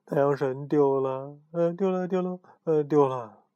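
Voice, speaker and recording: A man voicing a chubby young male chick who is jumpy and secretive, crying out in a high, shrill voice. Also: very animated, moderate pace, no room sound, lo-fi sound. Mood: frightened.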